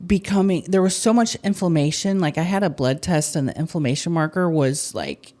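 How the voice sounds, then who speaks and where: Woman host, low voice, candid and animated, casual podcast talk. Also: brisk. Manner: candid, animated, swings between loud and soft. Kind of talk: casual chat.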